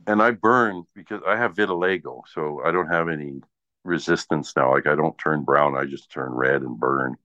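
A man in his sixties with a low-pitched voice, chatting casually. He rambles along in an easygoing way. The recording is dull and lo-fi. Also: moderate pace, bright, forceful tone. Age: 60s. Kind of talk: casual chat. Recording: dull lo-fi audio in echoey room.

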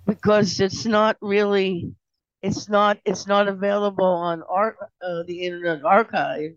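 Male, high-pitched, casual discussion participant with halting delivery; dull, dry recording. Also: level tone, smooth, no echo. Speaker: participant in an old-time radio discussion group.

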